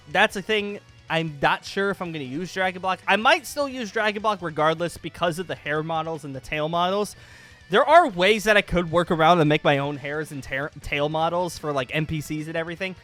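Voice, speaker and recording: A man with a fairly high, very bright voice talks as the host of a gaming channel. He rambles casually and gets emphatic and excitable as he makes his points. Faint music plays behind him. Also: moderate pace. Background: background music.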